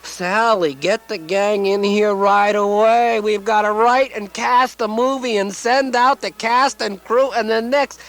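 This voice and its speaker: An actor playing an excitable, brash, hammy Hollywood agent in a satirical radio comedy. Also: male voice, high-pitched, a little slow, few pauses, poor audio. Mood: frantic, bossy. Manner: brash, hammy, repeats words. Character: excitable, fast-talking Hollywood super agent.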